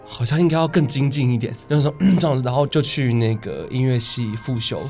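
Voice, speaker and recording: Young male guest, Taiwanese Mandarin accent, medium-pitched voice, casual chat, music in the background. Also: fairly quick, a little mellow. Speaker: guest, stage singer and actor. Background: music under the talk.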